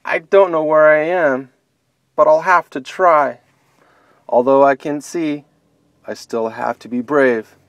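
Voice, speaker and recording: A man's voice: a little high, fairly even intonation, slow, pauses often, no room sound, voice pushed up. A man doing a timid blind girl bunny. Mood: brave.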